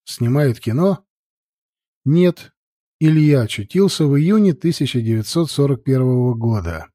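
Bright-free mellow male audiobook narrator, dry sound. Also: mid-range voice, animated, a little slow, no echo. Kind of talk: scripted narration of a military novel.

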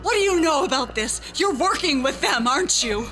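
A woman's voice: high voice, lively intonation, normal speed, music in the background. A woman playing an anxious, pleading wife in an audio drama. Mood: frantic, accusing.

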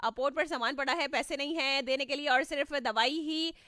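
A woman's voice: sits high, fairly quick, sharp tone, no echo, clean studio sound. A young woman with a sore throat hosting a morning radio show, reading listener messages in a chatty way, laughing often and slipping into English. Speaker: radio host. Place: radio studio. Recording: music playing underneath.

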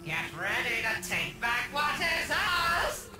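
A woman's voice: a little high, sing-song, takes it slowly. A woman voicing a fierce, commanding rebel spirit in a video game, urgently rallying fighters. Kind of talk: video game guide announcements. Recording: echoing, distant voice under loud music.

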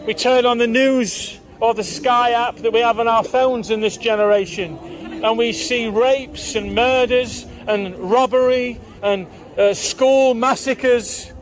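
A man's voice: very high voice, fairly even intonation, unhurried, a little hiss or hum. A man preaching in the open air on a busy town market street, firing repeated questions at passers-by. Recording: outdoor street with faint crowd.

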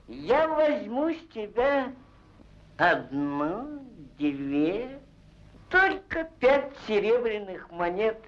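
A man's voice: sits high, heavily acted. An actor playing a sly, greedy, fat rich herd owner in an old cartoon, wheedling and drawing out his haggling. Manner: wheedling, drawn-out, crafty haggling. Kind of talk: old Soviet cartoon acting. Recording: dull, muffled old film soundtrack.

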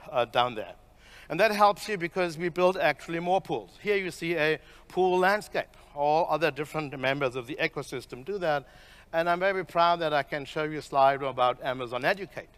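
A man's voice: a little high, fairly bright tone, clear, resonant tone. A middle-aged man presenting a keynote talk on a conference stage as a professor, speaking into a headset mic. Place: large conference stage, indoors.

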